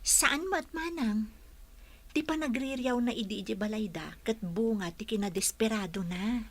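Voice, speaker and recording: A woman's voice: fairly high pitch, moderate pace. A woman acting a caring, devoted young caregiver in a Filipino radio drama, with an attentive manner. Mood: explaining.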